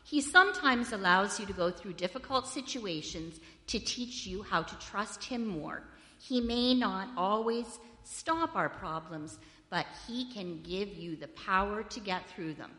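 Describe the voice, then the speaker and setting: Loud-voiced woman in an echoey church. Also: mid-range voice, expressive, measured pace. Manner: friendly, asks children questions. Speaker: children's story leader at church. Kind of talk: children's sermon. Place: church sanctuary. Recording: echoey hall with long reverb.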